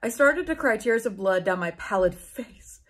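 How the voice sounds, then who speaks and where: A woman's voice: mid-range voice, expressive, moderate pace, fairly bright tone. A woman in her twenties reading fanfiction aloud at home, with theatrical, incredulous, sweary comic commentary. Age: 20s. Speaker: YouTube host. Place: small home room with bookshelves, indoors.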